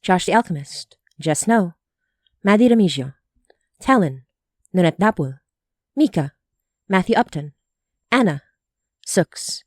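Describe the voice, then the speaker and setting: A woman's voice: lowish voice, expressive, unhurried, long pauses, darkish tone, no room sound, clean studio sound. A woman hosting a podcast, reading scripted credits and announcements straight to the listeners. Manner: addresses listeners directly, reading out lists. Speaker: podcast creator and host.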